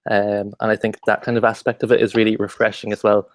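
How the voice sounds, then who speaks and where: An Irish man joining a casual book discussion, speaking in a measured, even way. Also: on the low side, no room sound. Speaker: book club panelist.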